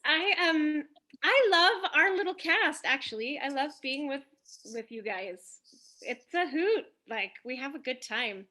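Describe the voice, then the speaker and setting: A woman's voice: sits high, animated, a little slow, sharp tone. A woman chatting casually as an actress and guest on a cast panel. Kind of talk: casual chat.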